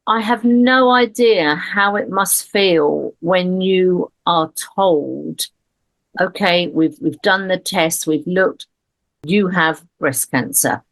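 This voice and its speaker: A woman hosting a podcast interview, speaking in a measured, empathetic way. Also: lowish voice, no echo. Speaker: podcast host. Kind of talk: conversational interview.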